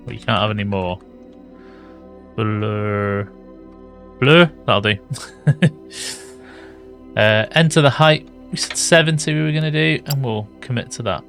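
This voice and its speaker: A British man with a slightly raspy voice, thinking aloud and correcting himself as he casually commentates a building game. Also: fairly low pitch, fairly bright tone. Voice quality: slightly raspy from early morning. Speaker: gaming YouTuber. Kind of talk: casual let's-play commentary.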